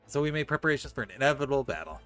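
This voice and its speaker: A man's voice: mid-range voice, sing-song, racing, a bit bright, faint music behind. A man streaming a video game, casually commenting and reading dialogue aloud.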